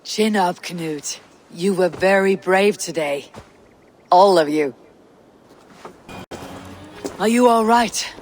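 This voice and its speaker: A woman playing a firm Viking warrior and clan leader in a video game, measured, dry and commanding. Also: on the low side, darkish tone. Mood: reassuring.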